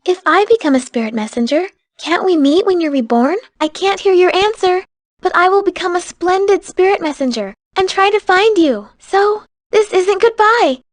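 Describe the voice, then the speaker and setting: A woman's voice: very high voice, animated, moderate pace, no room sound. A woman playing an earnest, devoted young woman in love in a video game. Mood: resolute.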